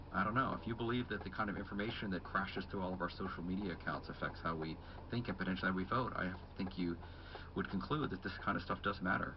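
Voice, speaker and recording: A man, a journalist, answering questions in a radio interview in a measured, explanatory way. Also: lowish voice, muffled recording, background noise. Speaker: journalist guest.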